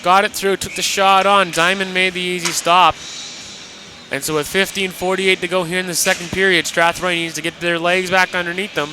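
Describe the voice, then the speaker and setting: A man's voice: high voice, level tone, moderate pace, bright, forceful tone, faint music behind. A man giving live colour commentary on ice hockey, walking through each play step by step. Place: ice rink, live broadcast position.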